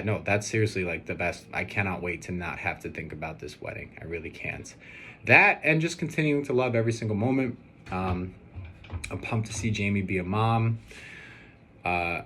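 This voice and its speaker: Low-voiced young man rambling over background noise. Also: pushed and bright. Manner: hesitant, rambling, earnest. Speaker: groom-to-be answering questions on video. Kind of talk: casual rambling personal video message.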